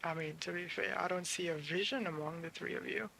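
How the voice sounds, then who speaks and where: A man's voice: high voice, fairly quick, creaky, bright, forceful tone, a little hiss or hum. A man who streams games, giving casual live commentary on a playthrough. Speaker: game streamer. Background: video game ambience.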